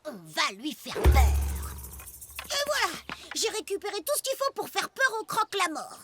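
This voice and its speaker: An excitable little cave boy speaks very high and lively, dramatic and boastful yet easily spooked. It is children's cartoon acting over loud music. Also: moderate pace, exaggerated voice. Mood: determined. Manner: dramatic, exclaiming, emphatic.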